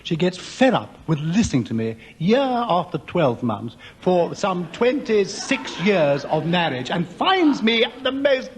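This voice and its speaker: A man's voice: high voice, big pitch swings, a little slow, hardly any pauses, a little hiss or hum. A man with a sore throat on a radio panel game, talking in a genial, self-mocking, gossipy way. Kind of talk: comic panel-game monologue. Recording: 1980s radio broadcast with studio audience.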